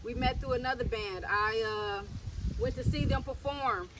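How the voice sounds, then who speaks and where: A woman's voice: a little high, moderate pace, background noise. A woman from South Los Angeles, a rapper, talking casually in an interview with easy fillers and repeats. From South Central Los Angeles. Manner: relaxed, repeats words, many fillers.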